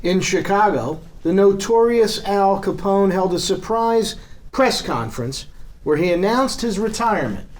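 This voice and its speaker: A man's voice: high-pitched, expressive, unhurried. A man playing a punchy, declamatory old-time newsreel announcer at a drama table read. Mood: urgent, showy. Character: brisk 1930s newsreel announcer.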